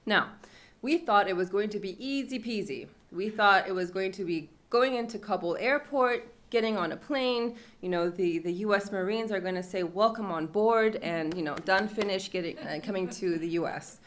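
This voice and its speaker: A woman's voice: medium pitch, moderate pace. A young woman recounting a personal story at a panel event, casual and conversational with fillers. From Iran. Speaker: panel speaker.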